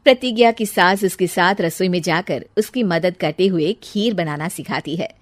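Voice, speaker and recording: A woman's voice: lowish voice, moderate pace, no echo. A woman reading scripted story narration.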